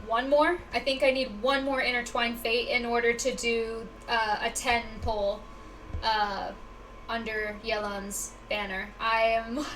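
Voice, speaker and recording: A woman's voice: on the high side, a bit bright. A young woman chatting casually as she plays a game on a livestream. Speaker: game streamer. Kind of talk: casual livestream chat while gaming. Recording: distant-sounding mic, faint background noise.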